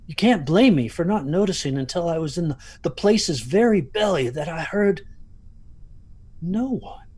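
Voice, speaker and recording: Anxious, haunted storyteller, acted by a man, slightly husky. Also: fairly high pitch, animated, moderate pace, darkish tone. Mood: haunted, tense. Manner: hesitant, brooding, intense storytelling. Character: anxious haunted man recalling a stormy night. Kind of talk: first-person dramatic ghost story monologue.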